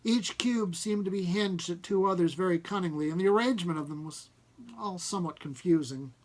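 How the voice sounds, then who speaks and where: A man's voice: high-pitched, brisk. A man narrating a first-person short story, reading it aloud. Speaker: story reader.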